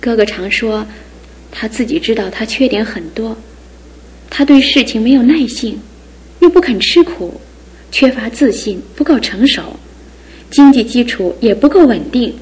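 A woman's voice: a little high, animated, unhurried, darkish tone, slight background noise, poor audio. An actress playing a skeptical young woman in a studio radio drama, speaking in a questioning, wistful way. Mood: doubtful. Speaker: fiancé's sister.

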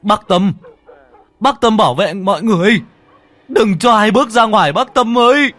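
A man voicing a desperate master of the house who shouts commanding orders in a horror story. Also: sits high, moderate pace, pitched above the performer's own voice. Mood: frantic.

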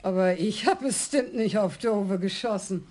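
An actress playing a blunt, dry-witted elderly widow in a radio crime play. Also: female voice, mid-range voice, measured pace, darkish tone, natural voice. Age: older. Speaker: murder victim's widow. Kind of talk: radio drama acting.

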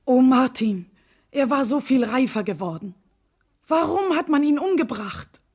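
A solemn leader's wife telling her story, radio play, soft dark husky voice, dry sound. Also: high-pitched, lively intonation, measured pace, no echo, exaggerated voice. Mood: distraught. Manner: solemn, restrained, clearly phrased storytelling. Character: composed, grieving wife of a civil rights leader. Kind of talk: scripted radio drama narration and dialogue.

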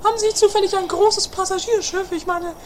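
A woman's voice: very high-pitched, steady tone, a little fast, a little mellow. A woman playing a bossy, quarrelsome, theatrical sister in an amateur comedy radio play. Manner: dramatic, taunting, theatrical. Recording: noisy 1980s homemade radio play recording.

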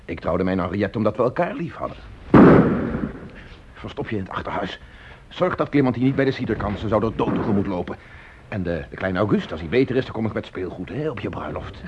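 A man's voice: fairly low pitch, expressive, a little fast, close to an everyday voice. An actor playing a kindly middle-aged manufacturer in a radio play, fatherly, earnest and stubborn. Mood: tender, fatherly. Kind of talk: radio drama acting. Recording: dull, noisy old radio play recording.

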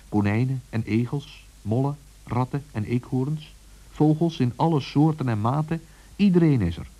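A man narrating a scripted children's story in an expressive storytelling manner. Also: medium pitch.

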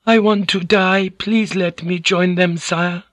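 A man's voice: high-pitched, fairly even intonation, slow, a bit bright, no room sound, pitched above the performer's own voice. A man voicing an abject, deferential young widowed noblewoman who pleads submissively in an audiobook. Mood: desperate, abject.

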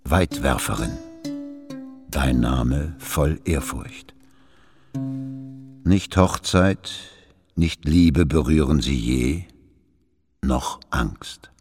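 A very deep male voice recites like a solemn bard. The verse is declaimed slowly and reverently, with clear plucked-string music behind it. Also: exaggerated voice. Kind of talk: solemn poetic recitation in radio drama. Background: plucked guitar-like music.

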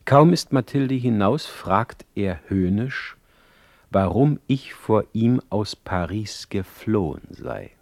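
Radio drama narrator: ailing exiled poet, very low voice, close dry sound, ironic, literary letter-reading. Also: male voice, expressive, slow, darkish tone, no room sound. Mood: wry, confiding. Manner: articulate, literary, wry.

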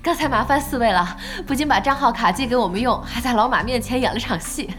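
An actress playing a brisk, spirited internet cafe owner in an audio drama. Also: female voice, on the high side, music in the background, natural voice. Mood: bright, hospitable. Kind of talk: Chinese audio drama acting.

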